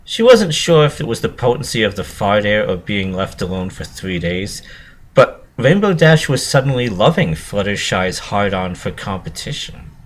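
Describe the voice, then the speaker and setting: Low-voiced man reading a story dramatically. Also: very animated, moderate pace, slight background noise. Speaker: narrator. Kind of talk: dramatic reading of fan fiction.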